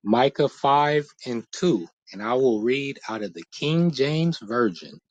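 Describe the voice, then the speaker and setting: Deliberate man in a dry room. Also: mid-range voice, expressive, no room sound, lo-fi sound. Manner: slow, deliberate scripture reading, presses questions repeatedly. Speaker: debater. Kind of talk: heated debate.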